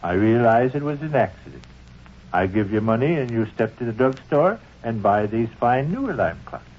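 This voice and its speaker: A man's voice: mid-range voice, very animated, moderate pace, slight background noise, lightly acted. Casting: male actor as stubborn, wordy American dad and proud tinkerer, 1940s radio comedy, dull old recording. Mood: mock-solemn. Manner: wordy, mock-formal phrasing. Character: fussy, stubborn middle-aged father, proud home tinkerer.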